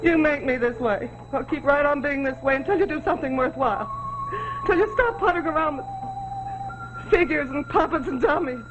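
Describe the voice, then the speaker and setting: Shrill, bitter nagging wife. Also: female voice, expressive, fairly quick, highs cut off, over music. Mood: furious, nagging. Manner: scornful, melodramatic, hectoring. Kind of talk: 1940s suspense television acting. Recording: noisy 1940s television soundtrack.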